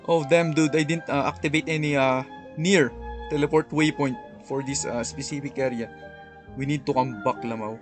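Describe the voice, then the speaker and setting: A man giving casual, halting gameplay commentary full of hesitations, with game music behind him. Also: mid-range voice, steady tone. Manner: halting, filler-heavy. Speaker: gaming streamer.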